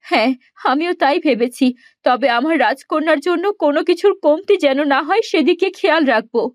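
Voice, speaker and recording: A woman voicing a gentle, worried queen and protective mother in a fairy-tale cartoon, earnest and emotional. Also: sits high, fairly quick, dry recording, studio quality, lightly acted. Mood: tender, worried. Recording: music behind the voice. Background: music.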